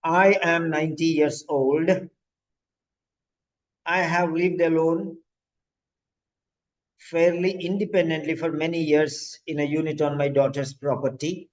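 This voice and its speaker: Frail ninety-year-old client, as a man reads him out, slow halting phrases, dull lo-fi sound. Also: fairly high pitch, flat delivery, voice pushed up, lightly acted.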